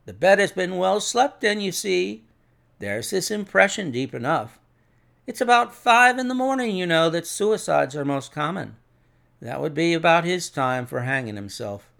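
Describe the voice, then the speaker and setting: A man voicing a brisk, hearty, sceptical police inspector in an audiobook, emphatic and plain-spoken. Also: sits high, expressive, gravelly, fairly bright tone, pitched above the performer's own voice, heavily acted. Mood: hearty, matter-of-fact.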